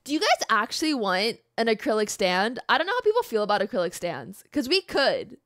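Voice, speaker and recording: A woman's voice: fairly high pitch, big pitch swings, a little fast, fairly bright tone, dry recording, clean studio sound. A woman livestreamer chatting casually and playfully with her live audience in an informal, mildly sweary way. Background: background music.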